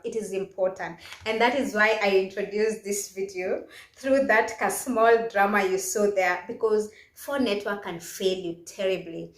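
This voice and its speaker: A woman with a Kenyan accent giving casual, instructional career advice as a video host, stressing her points emphatically. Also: medium pitch. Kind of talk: casual instructional vlog talk. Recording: echoey, roomy sound.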